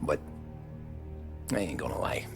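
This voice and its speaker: An actor playing a tough, streetwise mobster who recounts his past with laconic calm. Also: unhurried, lightly acted. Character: seasoned male mobster telling his story. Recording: game cutscene with music.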